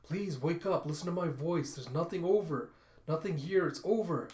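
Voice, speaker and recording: Guy doing a pleading fox person, desperate, loud n higher, echoey. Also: normal speed, lightly acted. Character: distressed fox folk pleading with maddened kin.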